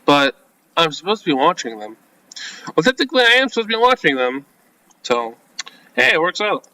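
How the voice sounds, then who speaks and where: Bright-voiced man doing game playthrough. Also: fairly high pitch, big pitch swings, a little fast, no echo, poor audio. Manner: informal, reacts with asides between read lines. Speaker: video game let's-player. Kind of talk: reading visual novel script aloud with casual commentary.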